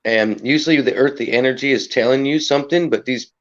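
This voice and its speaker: Raspy, earnest host of a lo-fi livestream, mid-pitched and a bit muffled, rambling and preachy. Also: male voice, fast talker. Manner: earnest, rambling, preachy about energy and respect. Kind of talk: casual livestream chat.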